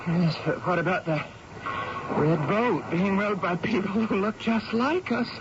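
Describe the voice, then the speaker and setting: An actress playing an earnest, uneasy woman aboard a small boat in a radio drama, speaking deliberately and dramatically. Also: mid-range voice, natural voice. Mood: startled unease. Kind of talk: 1970s radio drama acting. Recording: dull, very noisy old radio broadcast.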